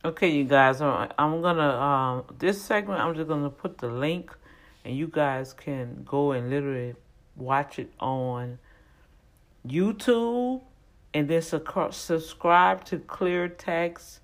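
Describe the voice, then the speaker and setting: A tax preparer speaking casually to viewers, halting often with fillers and restarts. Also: medium pitch. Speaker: tax preparer recommending a channel. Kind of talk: casual spoken aside to viewers.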